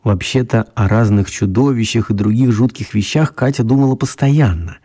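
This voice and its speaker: A man narrating a children's radio story in a measured, expressive storytelling manner. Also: medium pitch, slightly rough, natural voice.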